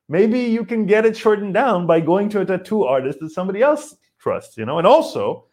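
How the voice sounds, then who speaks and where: A man, a comedian hosting a casual podcast, rambling and animated with many fillers while he reads viewer comments. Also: on the high side. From Israel. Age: 40s.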